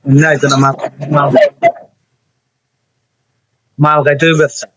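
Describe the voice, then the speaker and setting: Quick, higher-pitched man, eastern Bengali dialect. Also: flat delivery, fairly bright tone, no echo, rough recording. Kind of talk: casual teasing chat.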